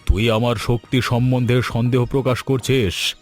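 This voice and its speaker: A man's voice: medium pitch, level tone, normal speed, faint music behind, close to an everyday voice. A man voicing a haughty older rich landlord in a cartoon, pompous and scornful. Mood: threatening. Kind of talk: scripted cartoon dialogue.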